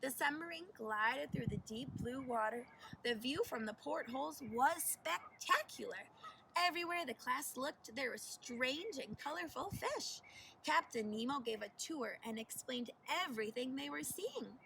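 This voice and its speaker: A woman narrates a children's picture book in a high, bright voice with animated, deliberate delivery. She is a little far from the mic, with faint noise behind her. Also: gravelly. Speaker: teacher reading aloud. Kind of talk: expressive children's storybook narration.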